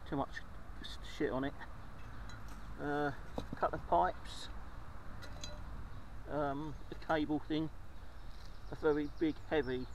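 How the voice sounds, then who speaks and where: Brief: man, slightly higher voice, casual swearing vlogger, outdoor camera audio with clear background noise. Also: a little mellow. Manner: chatty, swears casually, narrates finds. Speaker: magnet fishing vlogger. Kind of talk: casual vlog commentary. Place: outdoors by a river. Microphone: head-mounted action camera.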